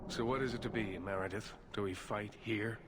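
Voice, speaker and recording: An actor playing a grave, pleading older leader of mages in a fantasy video game. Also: male voice, on the low side, steady tone, brisk, background noise, lightly acted. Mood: resigned defiance.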